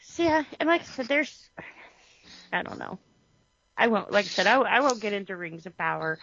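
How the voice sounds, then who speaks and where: A woman co-hosting a podcast, chatting casually about a TV series in an animated way, full of restarts and "you know". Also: mid-range voice, normal speed, no room sound, highs cut off. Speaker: podcast co-host. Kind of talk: casual chat reviewing a TV series.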